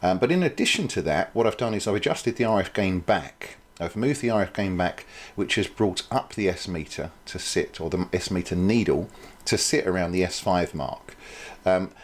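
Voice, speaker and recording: A man's voice: low voice, animated, normal speed. A man presenting an informal product demonstration, explaining things in a hesitant, thinking-aloud way.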